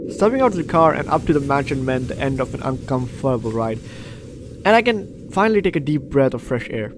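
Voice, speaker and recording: A man's voice: mid-range voice, big pitch swings, brisk, background noise. A man hosting a let's play, reading a visual novel aloud and breaking off into chatty, reactive asides. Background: faint game music.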